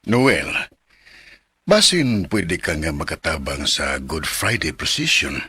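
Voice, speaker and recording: An actor playing a kindly, encouraging parish priest in a radio drama. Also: male voice, extremely low pitch, very animated, slow pace, heavily acted. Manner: gentle, encouraging, mixes in English.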